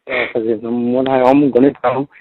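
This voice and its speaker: A man's voice: mid-range voice, level tone, normal speed, no echo. A man with a Marwari accent chats casually on the phone, often repeating short questions and phrases. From Rajasthan, India. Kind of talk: casual private phone chat. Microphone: telephone. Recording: muffled lo-fi phone call recording.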